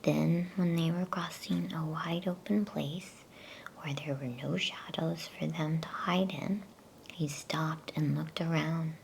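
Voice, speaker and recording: A woman softly whispering an ASMR bedtime story as storyteller, hushed, soothing and unhurried. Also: deep voice, steady tone, gravelly, slight background noise, rough recording.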